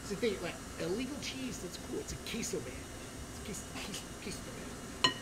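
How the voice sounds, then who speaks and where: A woman's voice: mid-range voice, animated, quick pace, close to an everyday voice. A woman playing a jovial female orc butcher of a savage tribe, bantering playfully and relishing the gore on a cooking stream. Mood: hammy, cheerful. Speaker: co-host. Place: studio kitchen set, indoors. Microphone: far camera. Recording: noisy studio room audio.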